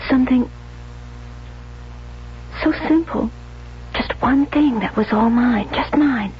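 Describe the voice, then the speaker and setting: Jealous, obsessive young bride-to-be, high voice, intense and pleading, 1970s radio thriller, muffled hissy tape. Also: female voice, takes it slowly. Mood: desolate, resolved. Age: young adult. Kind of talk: 1970s radio drama acting. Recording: dull, noisy vintage radio recording.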